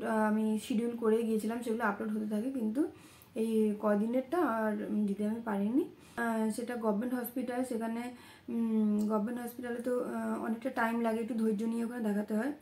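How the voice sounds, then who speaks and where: A woman in her thirties with a voice hoarse from a cold, talking casually to her vlog viewers in a small room at home. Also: fairly high pitch, warm tone, echoey room. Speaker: vlogger.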